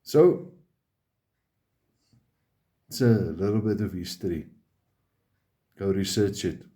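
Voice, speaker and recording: A South African man with an Afrikaans accent, a native Afrikaans speaker, talking straight to his viewers in a halting, emphatic monologue that repeats words. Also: sits low, dark tone. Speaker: vlogger.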